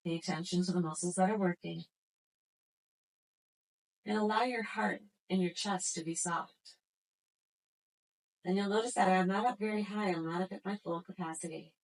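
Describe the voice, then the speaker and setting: A woman guiding a floor exercise class as instructor, giving slow, gentle, soothing cues. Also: lowish voice, rough recording. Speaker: yoga instructor.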